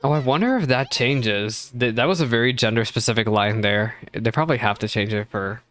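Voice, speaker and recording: A man's voice: medium pitch, a little fast, fairly bright tone, no echo, faint music behind. A man streaming a game reaction from a temporary home setup on a desk mic, chatty and self-deprecating. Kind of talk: casual reaction stream commentary. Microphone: desk microphone.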